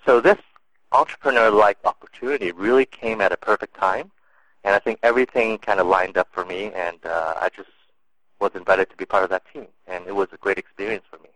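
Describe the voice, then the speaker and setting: Low-voiced man answering interview questions. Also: fairly even intonation, fairly quick, fairly bright tone, no echo, poor audio. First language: English and Cantonese. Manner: thoughtful, many fillers and restarts. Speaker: interview guest.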